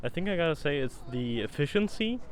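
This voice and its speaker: A young man from Germany telling anecdotes outdoors in a relaxed, wry way. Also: a little high, brisk, soft background music. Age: young adult. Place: outdoors in a city. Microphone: handheld mic held by interviewer.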